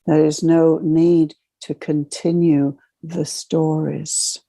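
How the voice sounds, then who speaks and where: A woman guides a spiritual meditation in a mellow voice with a gravelly edge. She speaks in solemn, measured phrases, like a formal ceremonial address. The sound is close and dry. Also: sits low, flat delivery, no echo. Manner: slow, measured, ceremonial delivery in short phrases.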